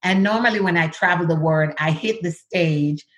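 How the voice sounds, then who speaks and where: Jamaican woman guest in her fifties, low-pitched, measured storytelling over a call. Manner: measured, sometimes overpronounces words. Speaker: guest, diversity executive. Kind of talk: interview conversation.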